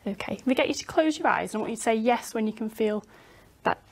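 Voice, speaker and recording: A woman's voice: a little high, expressive, fast talker. A young adult woman working as a clinician, talking a patient through a physical exam step by step. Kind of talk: instructional clinical exam talk. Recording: faint background noise.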